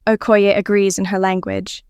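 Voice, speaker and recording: Female story narrator for fan fiction, clear and smooth, mid-pitched, dry close sound. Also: little pitch movement, normal speed, a little mellow, dry recording.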